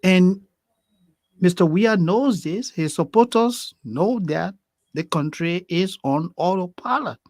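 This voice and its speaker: A man's voice: sits high, expressive, slow pace, a little mellow, no echo. A man co-hosting a current affairs talk show, often agreeing and chuckling. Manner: agreeing, frequent yeahs and laughs. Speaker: co-host.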